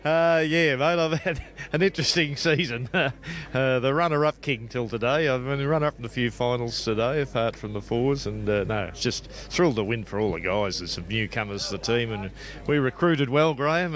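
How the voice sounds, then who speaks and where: An Australian man in his sixties, a bowls player being interviewed outdoors, talking with many pauses and fillers. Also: mid-range voice, a bit bright. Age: 60s. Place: outdoor bowling green.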